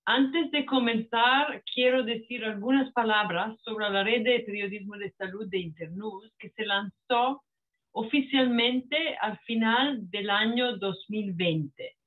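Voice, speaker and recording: Woman, strong Italian accent, Italian native speaker, slow and halting, restarts, higher voice, tinny phone-like audio. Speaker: network manager opening a panel. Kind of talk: formal welcome speech. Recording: phone-like narrow sound.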